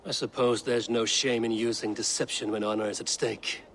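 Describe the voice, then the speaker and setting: A man's voice: on the low side, steady tone, normal speed, a bit bright, a little hiss or hum. An actor playing a fierce, proud male Viking warrior who speaks bluntly and hurls insults. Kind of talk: video game cutscene acting.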